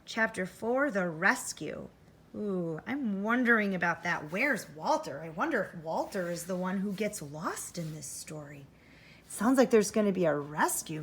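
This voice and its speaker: Woman, primary school teacher, lively swooping intonation, children's read-aloud, expressive, thinks aloud to young listeners. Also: medium pitch, slightly rough. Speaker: first grade teacher.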